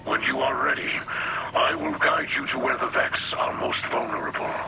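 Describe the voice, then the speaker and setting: An actor playing a solemn, wise alien leader in a video game, speaking formally and deliberately in a breathy half-whisper. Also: male voice, a little high, wildly swooping pitch, heavy background noise, full character voice. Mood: solemn, grave. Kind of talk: dramatic video game dialogue.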